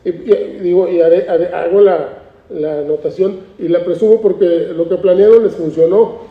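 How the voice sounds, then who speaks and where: A man in his sixties from the Tijuana border of Mexico, telling stories at a relaxed pace with frequent restarts and repeated words at a book presentation. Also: high voice, moderate pace. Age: 60s. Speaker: artist, book author. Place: cultural institute event room. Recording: roomy, dull-toned event recording.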